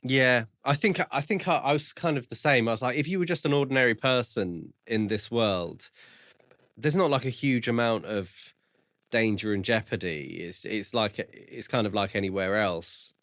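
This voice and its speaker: A man co-hosting a casual book review podcast, speaking in a measured, agreeable, loosely phrased way. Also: medium pitch, fairly bright tone, dry recording.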